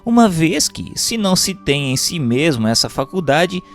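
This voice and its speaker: A man with a Brazilian accent reads a scripted book chapter as narrator, in a formal, measured manner. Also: a little high, sing-song. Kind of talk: scripted narration of a spiritual treatise. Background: background music.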